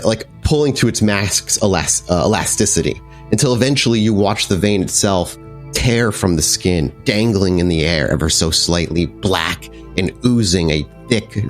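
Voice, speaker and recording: A man running a tabletop horror game, narrating descriptively and searching for words as he improvises. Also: sits low. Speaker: game master. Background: background music.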